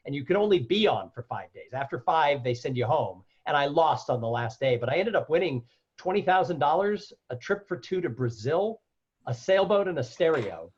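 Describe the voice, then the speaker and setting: A man guest on a podcast interview, a loud, animated storyteller who acts out the voices of people he quotes. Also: a little high, moderate pace, breathy edge, dull audio. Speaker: guest, keynote speaker.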